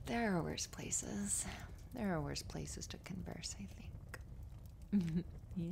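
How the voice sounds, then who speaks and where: Low, creaky-voiced affectionate girlfriend played by a woman. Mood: affectionate, teasing. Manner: soft, giggly, conversational, drifting into breathy murmurs. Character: affectionate, playful, reassuring successful writer girlfriend. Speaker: audio roleplay performer. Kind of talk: intimate girlfriend roleplay ASMR. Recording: noisy fireplace ambience behind voice. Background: crackling fireplace.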